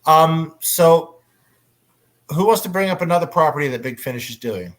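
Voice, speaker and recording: A man's voice: a little high, animated, normal speed. A man moderating a casual panel chat, talking conversationally as an enthusiastic fan.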